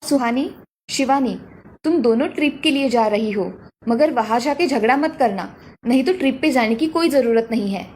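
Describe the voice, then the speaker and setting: A woman's voice: fairly high pitch, normal speed, darkish tone, slight background noise, natural voice. A woman voicing a caring mother and her college-age daughter in a dramatised cartoon story. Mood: caring, gentle.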